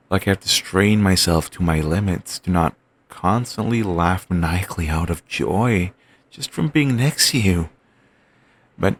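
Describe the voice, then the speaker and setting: A man playing an obsessive, doting young boyfriend, murmuring soft, fixated repetitions in an audio roleplay. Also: sits low, creaky, slightly rough, exaggerated voice.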